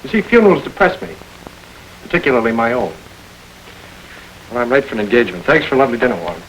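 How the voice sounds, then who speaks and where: An actor voicing a suave gentleman crook from an old crime film, talking quickly with dry, urbane wit. He gives orders smoothly, never raising his voice much, over a faint tape hiss. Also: mid-range voice, very animated, natural voice, rough recording. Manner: urbane, wry and smoothly commanding. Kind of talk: 1930s film crime drama.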